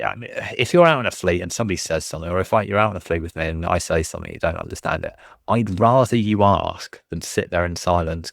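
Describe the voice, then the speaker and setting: Casting: male fleet commander, very deep gravelly voice, loose rambling Q&A delivery, dry close mic. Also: no echo. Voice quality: low voice. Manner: rambling, many ums and restarts, self-deprecating. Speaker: fleet commander answering questions. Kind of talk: casual livestream Q&A.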